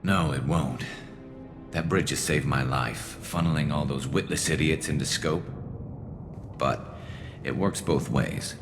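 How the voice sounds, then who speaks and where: A man playing a battle-weary alien vigilante sniper in a video game, gravelly, with a dry, wry, understated delivery. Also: rumbling low, fairly even intonation, unhurried, pauses often. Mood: dry, steady. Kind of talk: video game dialogue acting. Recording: reverberant game audio under loud music.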